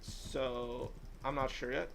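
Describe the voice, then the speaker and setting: A man's voice: medium pitch, level tone, slow pace, gravelly, fairly bright tone, a little hiss or hum, low-quality recording. An eighteen-year-old man hosting a livestream, chatting casually and rambling, with plenty of "like" fillers. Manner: rambling, heavy use of "like" fillers. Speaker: livestream host. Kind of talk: casual chat while gaming.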